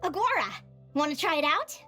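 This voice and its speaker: Perky, high-voiced young adventurer woman. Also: brisk, soft background music, heavily acted. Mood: instructive. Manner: perky, encouraging, expressive. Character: cheerful, encouraging young woman adventurer training animal companions. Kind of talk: animated video game voice acting.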